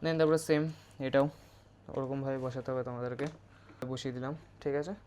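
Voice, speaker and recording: Flat-toned Bengali man giving a tutorial. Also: medium pitch, a little fast, a little hiss or hum. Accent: West Bengal Bengali. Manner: informal, instructive, mixes English words into Bengali, often checks with "okay?". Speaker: motovlogger presenting a product review. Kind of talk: casual hands-on tutorial and review.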